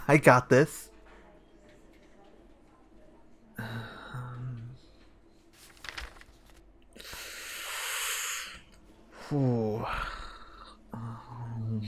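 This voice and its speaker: An actor playing a childish, self-important, slow-witted police detective in a comedic audio drama, rambling and hesitant. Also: male voice, medium pitch, lightly acted. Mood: bumbling, puzzled.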